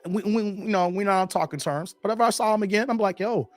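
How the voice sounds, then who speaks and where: A hoarse man hosting a casual livestream, slangy and chatty, greeting viewers by name. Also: high-pitched, quick pace. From Chicago area, Illinois. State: hoarse, losing his voice. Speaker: livestream host.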